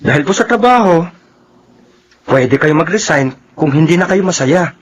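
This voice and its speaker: An earnest young Filipino son in a radio drama. His voice is lightly high and husky, full of concern. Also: lively intonation, normal speed. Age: young adult.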